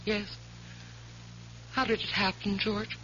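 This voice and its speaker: An ambitious young fiancée in a vintage radio mystery. Her voice is bright and forceful, speaking directly and intimately. The old recording is dull with a faint hum. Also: medium pitch, level tone, slow. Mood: tender, careful. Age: 20s. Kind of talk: old-time radio mystery drama acting.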